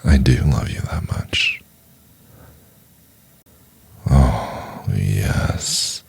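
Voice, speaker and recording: Man playing a very deep, whispery possessive boyfriend. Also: huge pitch swings, exaggerated voice. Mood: adoring, tender. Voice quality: breathy, whispery, gravelly low murmur. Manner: slow, coaxing, intimate, lingering on words. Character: possessive, tender, obsessive male yandere boyfriend stalker. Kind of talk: ASMR boyfriend roleplay.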